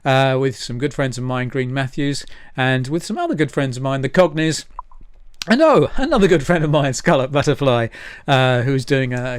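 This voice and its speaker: A man's voice: medium pitch, no room sound. Rambling, chatty man hosting, dry close sound. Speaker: event host and presenter. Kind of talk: informal livestream announcing.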